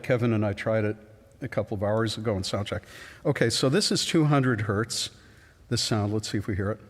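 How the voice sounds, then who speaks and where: A middle-aged man with a low voice giving a public science lecture in an auditorium, explaining step by step with ums and restarts. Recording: long auditorium reverb.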